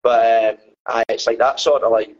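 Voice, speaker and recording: A man's voice: mid-range voice, flat delivery, moderate pace. A Scottish man in a band, chatting in a rambling, laid-back way as an interview guest. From Scotland. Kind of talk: casual interview chat. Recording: dull lo-fi sound.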